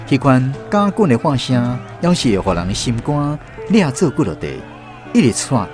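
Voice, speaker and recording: A man's voice: mid-range voice, big pitch swings, normal speed, over music. A man with a Taiwanese accent narrating a literary radio drama.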